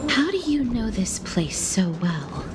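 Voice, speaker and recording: An actress playing an earnest, cautious young heroine in a video game, speaking politely and formally over music. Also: female voice, fairly high pitch, sing-song, slow, heavy background noise. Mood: curious. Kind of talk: video game character acting. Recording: music under the voice.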